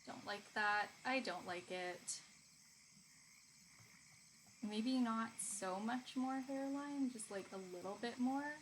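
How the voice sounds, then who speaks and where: A woman's voice: on the high side, slow, long pauses, a bit bright, low-quality recording. A woman live streaming art, chatting casually and informally with viewers and reading their comments aloud. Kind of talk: casual chat with stream viewers while drawing. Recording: clear background noise.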